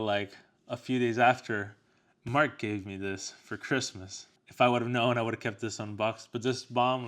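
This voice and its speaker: A man's voice: mid-range voice, a bit bright. A man chatting casually as he hosts an unboxing and product review.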